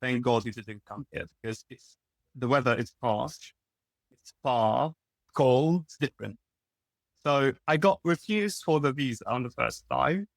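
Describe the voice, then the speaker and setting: A young Lebanese man speaks English as a second language, with Arabic as his first, in a medium-pitched voice. He talks candidly and often breaks off to rephrase. The sound is dry and close, with no room echo. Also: measured pace, creaky. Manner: candid, restarts and self-corrections. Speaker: guest, pharmacist. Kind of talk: casual interview talk.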